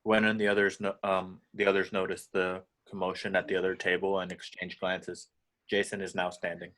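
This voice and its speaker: Low-voiced man reading stage directions. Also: completely flat delivery, brisk, fairly bright tone. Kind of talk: scripted narration at amateur table read.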